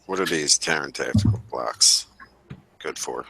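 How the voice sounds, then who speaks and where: A man in casual group chat, delivering news in a deadpan way. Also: very deep voice, unhurried, creaky, noisy background.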